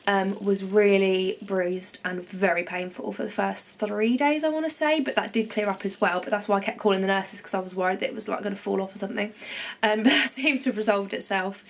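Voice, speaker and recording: Young woman, congested, casual home vlogger; chatty, reassuring, mid-pitched. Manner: chatty, reassuring, many ums and self-corrections. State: nasally congested after nose surgery. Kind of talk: casual recovery vlog to camera.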